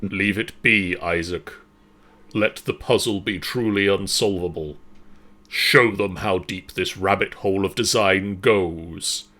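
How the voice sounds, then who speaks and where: A man voicing a deep, powerful and hungry inner voice that tempts and commands slowly. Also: bright, forceful tone, exaggerated voice. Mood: tempting.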